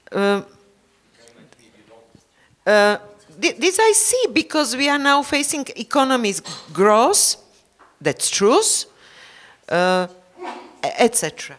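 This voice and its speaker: A man speaking as a politician on a discussion panel, slow and halting in non-native English. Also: very high-pitched, fairly bright tone. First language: not English. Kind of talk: panel debate.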